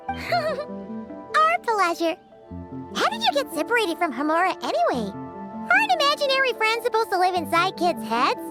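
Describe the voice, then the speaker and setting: A woman voicing a tiny, excitable floating companion sprite who chatters brightly and calls herself by name. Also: very high-pitched, unhurried, pauses often, music in the background, full character voice. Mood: chirpy. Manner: chirpy, speaks of herself by name. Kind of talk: video game voice acting.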